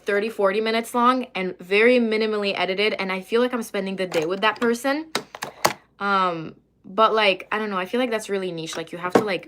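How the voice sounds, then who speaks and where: A woman's voice: medium pitch, background noise. A woman casually chatting on a livestream, reading and answering viewer comments while doing her makeup, rambling with lots of fillers and asides.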